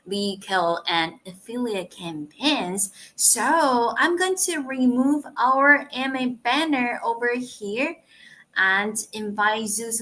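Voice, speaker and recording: A woman's voice: medium pitch, sharp tone. A woman hosting a live Q&A stream, greeting the audience and introducing her guest in an upbeat, welcoming way. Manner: upbeat and welcoming, pace speeding up and slowing down. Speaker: livestream host.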